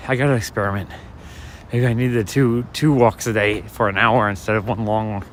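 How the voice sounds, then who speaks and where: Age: adult. Sex: man. Quality: raspy. Style: soft-spoken walking vlog. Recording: street traffic noise. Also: on the low side, fairly even intonation, fairly quick. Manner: casual, chatty, talks to viewers while walking. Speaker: walking vlogger and livestreamer. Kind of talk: soft-spoken rambling walk-and-talk commentary. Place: city street outdoors at night. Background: city street ambience and vehicles.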